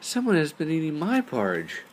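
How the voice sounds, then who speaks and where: A man's voice: a little high, huge pitch swings, moderate pace, a little hiss or hum, pitched above the performer's own voice, rough recording. A man voicing an indignant, medium-sized mama bear in a bedtime storybook, exclaiming her complaints.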